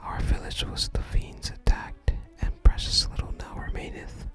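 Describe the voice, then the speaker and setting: A gaming ASMR host whispers softly and breathily, close to the microphone. The delivery is hushed storytelling, moving between game text and quiet commentary. Music plays clearly underneath the whisper. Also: moderate pace. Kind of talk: whispered ASMR game playthrough, reading game dialogue aloud.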